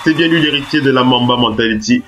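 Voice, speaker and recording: A French-accented man hosts a basketball talk show on web radio, voice congested with the flu. He stumbles through fillers and restarts, then surges into excited announcing. His pitch sits at an ordinary middle. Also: quick pace, a little hiss or hum. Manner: hesitant, many filler sounds and restarts, hyped-up announcer bursts. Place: radio studio.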